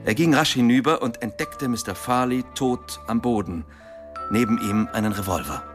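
An actor playing a factual police inspector in a radio play, reporting in a matter-of-fact, official manner. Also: male voice, mid-range voice, moderate pace, music in the background, lightly acted. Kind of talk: German radio play acting.

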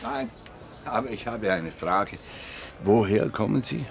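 Radio play casting: gruff retired detective, older, low voice, terse and dry, over noisy scene sound. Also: male voice, unhurried. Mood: curious, guarded. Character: gruff, dry retired Basel police inspector, grandfather. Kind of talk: naturalistic radio drama dialogue.

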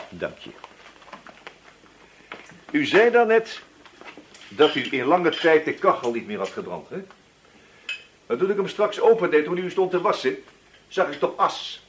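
A man's voice: fairly high pitch, sing-song, a little slow, pauses often, slight background noise. A man playing a wily, relentless police inspector in a radio drama, feigning casual chat and probing until he accuses. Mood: cool, insistent. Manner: probing, hesitant feints turning accusatory.

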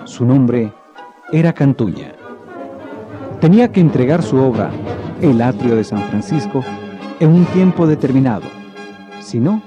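A man narrating a legend in a radio drama with measured storytelling. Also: mid-range voice, expressive. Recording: dull lo-fi recording with faint music.

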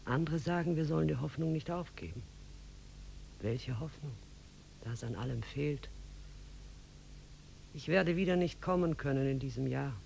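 A man's voice: on the high side, moderate pace, vocal fry, long pauses, a little mellow. An actor playing a despairing, careworn family man who speaks with bleak resignation in a 1950s German radio play. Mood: despairing, resigned. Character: despairing, careworn letter writer with a hungry family. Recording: humming, noisy 1950s radio recording.

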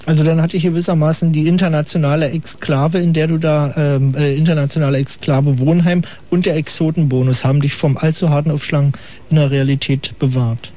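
Ironic, chatty radio host. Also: male voice, fairly high pitch, steady tone, a little fast, warm tone. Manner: wordy, ironic, probing questions. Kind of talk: casual radio call-in chat.

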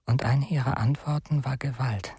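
A very breathy man as a scared young fugitive confessing in a radio play. The voice is mid-pitched and half-whispered, pleading, in a close dry recording. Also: fairly even intonation, moderate pace, darkish tone, dry recording. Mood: afraid, confessional. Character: frightened, confessional young male fugitive nephew pleading for help. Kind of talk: radio drama letter monologue.

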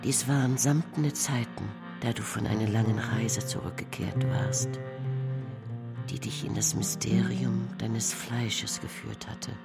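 A woman with an extremely deep, gravelly voice recites poetry for a radio play. She keeps it hushed while loud music runs underneath. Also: steady tone, measured pace. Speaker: performer reciting German translation. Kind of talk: poetic radio drama recital.

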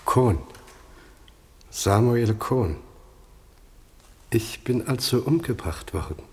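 Slow, bewildered old revived man. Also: medium pitch, lively intonation, darkish tone. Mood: dazed, searching. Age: older. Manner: halting, dazed, plaintive. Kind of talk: German radio play acting.

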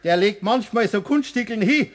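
High-pitched, jovial Bavarian club bowler, bantering and teasing, radio play. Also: male voice, animated, moderate pace. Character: jovial, teasing male bowling buddy.

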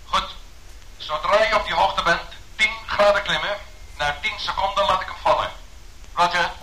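A man's voice: sits high, moderate pace, sharp tone. A man playing a tense bomber pilot, clipped and urgent, in echoing cockpit dialogue from a radio play. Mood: tense, focused. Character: tense bomber pilots struggling with a failing aircraft.

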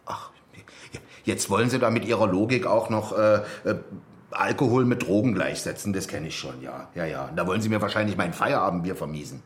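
An actor playing a flustered conservative male politician in a radio drama, speaking haltingly with muttered hesitations. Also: deep voice, close to an everyday voice. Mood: irritated, defensive. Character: flustered, conservative older male politician, anti-drug hardliner.

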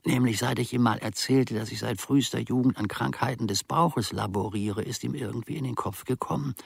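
An actor playing a resentful old manservant in a radio play, muttering to himself in a gravelly, husky voice with pedantic, mock-learned phrasing. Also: male voice, medium pitch, fairly quick, no room sound, studio quality. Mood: grumbling, sardonic. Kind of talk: theatrical radio play monologue.

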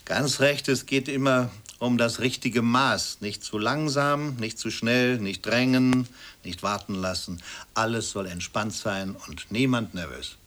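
A man's voice: medium pitch, measured pace, a bit bright, lightly acted. An actor playing an effusively polite, insistent and exacting male portrait painter in a radio play. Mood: courteous, particular.

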